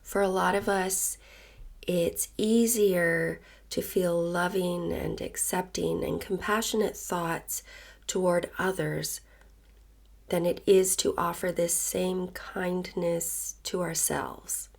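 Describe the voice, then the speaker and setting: A woman leading a guided meditation in a soft voice that turns breathy at phrase ends, gentle and unhurried with long pauses. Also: fairly low pitch, fairly even intonation. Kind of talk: guided meditation, slow and soothing narration. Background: soft background music.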